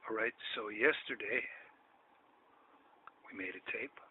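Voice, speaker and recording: A man in his seventies talking to camera in a rambling way, repeating himself and getting louder when he asks questions. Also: lowish voice, slow pace. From United States. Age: 70s. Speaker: vlogger. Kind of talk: rambling casual talk to camera. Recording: tinny lo-fi sound with faint hiss.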